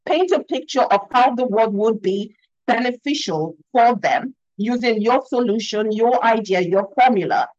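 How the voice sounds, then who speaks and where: A woman's voice: fairly high pitch, animated, normal speed, darkish tone, no echo. A woman from Nigeria with a Nigerian accent, a communication expert explaining her ideas earnestly on a video call, often tagging "yeah?" onto her points. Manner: earnest, emphatic, frequent "yeah?" tags. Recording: dull, slightly lo-fi dry sound.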